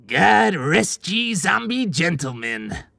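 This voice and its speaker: A man's voice: high-pitched, very animated, slow, vocal fry, pushed and bright, no echo, clean studio sound, pitched above the performer's own voice, full character voice. A man voicing a cocky, skeptical little male elf in an audiobook, brash and sardonic.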